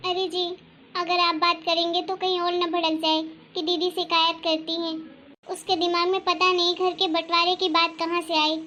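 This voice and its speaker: A woman acts out a fretful young housewife from a cartoon family story. Her voice is extremely high and bright, with little melodic swing. She sounds plaintive and complaining, over faint background noise. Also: little pitch movement, normal speed, muffled recording. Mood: worried, upset. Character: worried, soft-hearted young housewife, mother and elder sister-in-law. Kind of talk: cartoon family drama voice acting.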